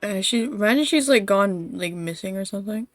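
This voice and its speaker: A woman's voice: medium pitch, expressive, brisk, no room sound, faint music behind. A woman live streamer giving casual, offhand commentary while playing a game.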